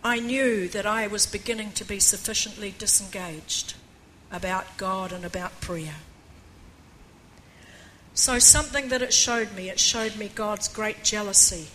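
An older woman preaching a personal testimony in an earnest way, with a croaky voice, reading a passage aloud. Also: medium pitch, measured pace, pushed and bright, a little hiss or hum, low-quality recording. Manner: earnest, reads aloud with rising emphasis. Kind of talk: testimony sermon.

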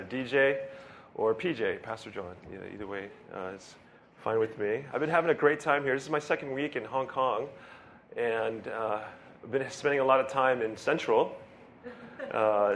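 A man's voice: mid-range voice, a bit bright, slight background noise. A male pastor giving a casual, rambling sermon, joking about himself and laughing often. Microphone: handheld or stand mic with feedback.

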